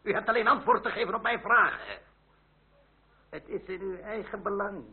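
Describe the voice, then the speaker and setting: Male officious interrogator, radio play: suspicious, sneering, curt, fairly high voice with fry, thin vintage sound. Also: animated, normal speed. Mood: curt, domineering. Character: gruff, suspicious male revolutionary district official. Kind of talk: period radio drama acting. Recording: old narrow-band radio recording.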